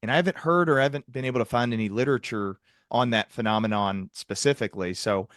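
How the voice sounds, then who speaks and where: A man's voice: mid-range voice, fast talker, fairly bright tone, no echo, studio quality. A man hosting a conversational clinical discussion, speaking earnestly and repeating words for emphasis. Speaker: podcast host, psychiatrist.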